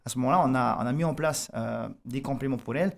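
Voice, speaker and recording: A man's voice: medium pitch, quick pace, vocal fry. Tahitian-accented man explaining eagerly. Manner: eager, explanatory, frequent restarts and fillers. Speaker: fitness coach guest. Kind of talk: radio interview, explaining his method. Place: radio studio.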